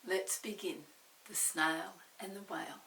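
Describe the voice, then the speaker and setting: An older woman reading a picture book aloud for small children, pausing to describe pictures and ask questions. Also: lowish voice, steady tone, vocal fry, bright, forceful tone. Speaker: storyteller. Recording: roomy, distant mic, faint hiss.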